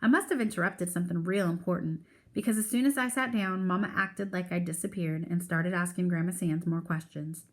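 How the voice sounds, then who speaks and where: A woman's voice: on the low side, a little fast, few pauses. A woman reading a children's novel aloud as a teacher would for a class, expressively and with character voices.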